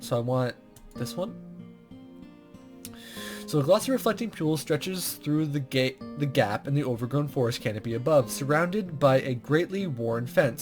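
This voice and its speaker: A man hosting a let's play, reading visual novel text aloud with casual commentary and stumbling over words as he goes. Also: medium pitch.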